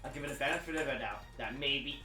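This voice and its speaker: A woman in a casual group chat about video games, ranting loudly, interrupting and swearing. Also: a bass voice, roomy sound, distant mic, faint music behind. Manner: fast, loud, rants and interrupts, swears.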